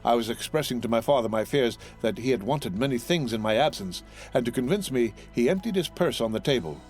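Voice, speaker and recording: Man playing an earnest, animated young sailor son. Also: medium pitch, a little fast, faint music behind, close to an everyday voice. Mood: courteous, guarded. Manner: ardent, courteous.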